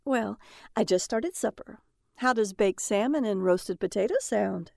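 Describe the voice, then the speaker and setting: An actress playing a sharp-tongued, easily distraught housewife and mother in an audio drama. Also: medium pitch, animated, unhurried, gravelly, dry recording, clean studio sound. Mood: sardonic.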